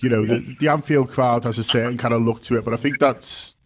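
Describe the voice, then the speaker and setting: Quick-talking podcast host. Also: male voice, mid-range voice, fairly even intonation. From Liverpool, England. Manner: thoughtful, hedging with "sort of" and "kind of". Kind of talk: interview questions.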